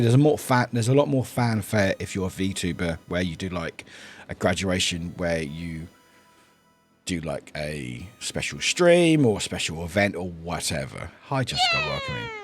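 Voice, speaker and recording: Chatty man streamer, lively pitch. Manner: rambling, lots of ums and restarts, chatty with viewers. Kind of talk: casual livestream chat.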